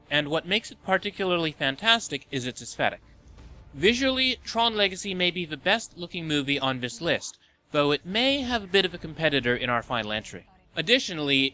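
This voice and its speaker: Lively, bright-voiced man narrating a countdown. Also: a little high, rough recording. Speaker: host of a video countdown list. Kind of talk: scripted review narration.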